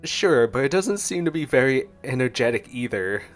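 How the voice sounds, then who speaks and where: A man's voice: mid-range voice, animated, moderate pace, soft background music. A man streaming gameplay with casual reaction commentary, sometimes reading dialogue aloud.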